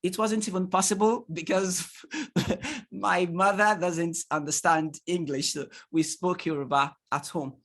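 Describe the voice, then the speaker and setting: A male tutor with a Nigerian accent leads an online session for parents over a computer mic. He talks in an animated way, stacks rhetorical questions and laughs easily while reading the chat. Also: high voice, measured pace. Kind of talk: online training lecture to parents.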